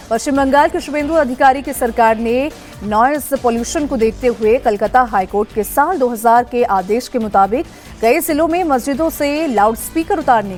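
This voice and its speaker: A woman's voice: fairly high pitch, a little mellow. Woman reading news over music. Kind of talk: scripted news narration.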